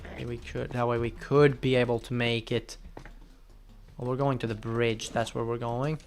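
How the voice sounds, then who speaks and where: Excitable young man streaming. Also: mid-range voice, moderate pace, soft background music. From Finland. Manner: chatty, bursts into loud excited outbursts. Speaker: game streamer. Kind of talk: casual live gameplay commentary.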